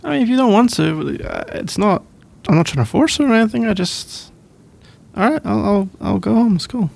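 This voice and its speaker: A man's voice: sits high, lively intonation, creaky, heavily acted. A man with a British accent playing a sleepy, shy college boyfriend in an intimate ASMR roleplay, hesitant and rambling. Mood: flustered, awkward. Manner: hesitant, halting, rambling with soft laughs. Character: sleepy, shy, easygoing young male college student boyfriend.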